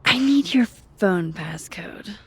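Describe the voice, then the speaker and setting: A woman's voice: mid-range voice, lively intonation, slow, slightly rough, exaggerated voice. An actress playing a dry-witted, introspective young woman in a naturalistic video game, speaking in an understated, wry, hesitant way. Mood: playful, coaxing.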